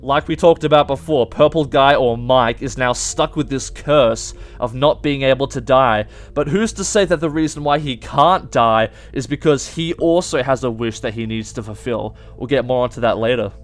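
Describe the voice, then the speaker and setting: A man's voice: mid-range voice, moderate pace, few pauses, soft background music. A young adult man hosting casual game theory commentary, addressing viewers directly and emphatically. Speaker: YouTube game theory host.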